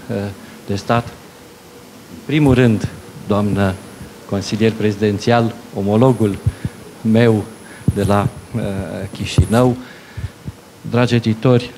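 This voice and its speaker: An older man with a fairly low voice reads out a formal message in a ceremonial, halting way. He holds a handheld microphone in a conference hall. A faint hum sits in the background. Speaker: presidential adviser reading a message. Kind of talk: formal official address. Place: conference hall at a book fair.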